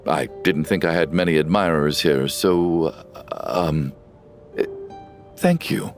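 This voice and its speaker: An actor playing an awkward, gruff middle-aged father with a soft heart, speaking hesitantly in a game. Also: rumbling low, soft background music. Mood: bashful, fond. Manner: halting, hesitant, stammering.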